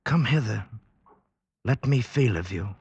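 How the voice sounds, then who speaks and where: A wary blind villager in an old radio drama. The voice is husky and mid-pitched, grave and declamatory with archaic wording. The vintage recording is dull and lo-fi. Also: male voice, lively intonation, a little slow, darkish tone, no echo, full character voice.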